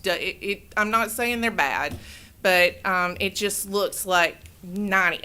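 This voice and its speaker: A woman giving public comment at a city meeting into a podium microphone, loud and rambling, often correcting herself. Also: medium pitch. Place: city council chamber.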